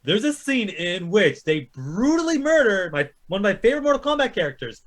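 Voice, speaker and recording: High-voiced, very animated ranting man. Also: brisk. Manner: exasperated, argumentative storytelling. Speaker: co-host of a video game movie chat show. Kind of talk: casual animated ranting, heavy swearing.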